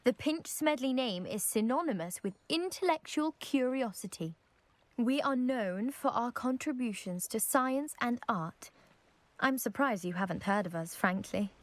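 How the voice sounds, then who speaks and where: A woman's voice: fairly high pitch, animated, a little slow. A woman playing a proud, eager young lady from a scholarly family in a video game, with a posh British accent and precise, self-assured delivery. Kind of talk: video game character acting.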